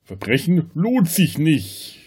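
A man's voice: a little high, wildly swooping pitch, slow, darkish tone, husky. A man hosting a podcast, talking casually about film. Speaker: podcast host.

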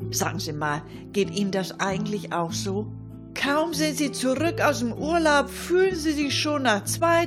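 A woman playing a chatty East Frisian character in a comic radio sketch, talking straight to the listener. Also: medium pitch. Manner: chatty, addressing listeners directly. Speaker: radio show performer.

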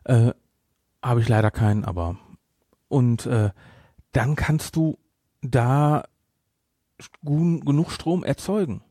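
A man's voice: mid-range voice, slow pace, long pauses, warm tone, no echo. A German man co-hosts a casual film podcast in a mid-range voice. He explains things at length, rambling and correcting himself as he goes. The sound is very dry, with no room around him. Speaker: podcast co-host. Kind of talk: casual film discussion chat.

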